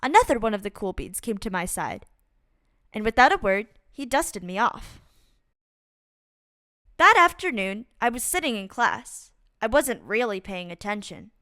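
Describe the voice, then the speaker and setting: A woman reading a children's picture book aloud as a storyteller, in an expressive, deliberate and dramatic way. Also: medium pitch, no room sound, clean studio sound. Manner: deliberate, dramatic delivery with acted-out exclamations. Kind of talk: expressive read-aloud storytime narration for kids.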